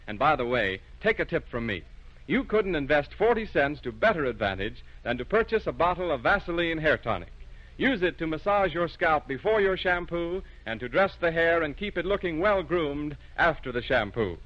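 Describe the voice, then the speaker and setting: A man's voice: a little high, expressive, moderate pace. A man announcing in a formal, polished 1930s radio advertising style, on a muffled old recording.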